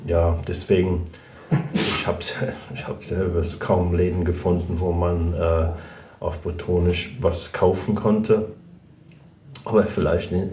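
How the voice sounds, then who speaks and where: A man's voice: low-pitched, mellow, a little hiss or hum. A middle-aged man from Brittany, chatting casually in a vlog, hesitant and rambling with many fillers and restarts. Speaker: language vlogger. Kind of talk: casual chat about learning a language. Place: at home with a dog nearby. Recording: echoey room.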